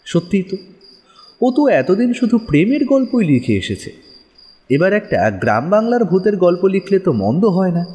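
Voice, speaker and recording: A man narrating a village ghost story in a dramatic, expressive storytelling manner. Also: high voice, fairly quick, long reverb tail.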